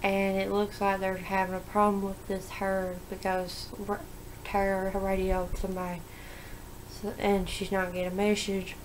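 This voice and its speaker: A woman's voice: mid-range voice, a little mellow, slight background noise, rough recording. A young woman talking casually to her webcam in a small bedroom, rambling with frequent restarts and fillers. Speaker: vlogger. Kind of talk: casual webcam vlog, TV episode predictions. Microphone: webcam at desk.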